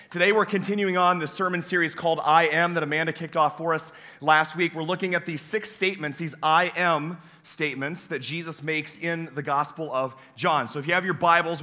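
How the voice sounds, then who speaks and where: A young man, a campus pastor preaching a sermon in a church with a hoarse, weakened voice, telling folksy, self-deprecating stories. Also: a little high, a little fast, sharp tone. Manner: folksy, self-deprecating storytelling with many uh fillers. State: hoarse, voice weaker than usual. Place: church sanctuary.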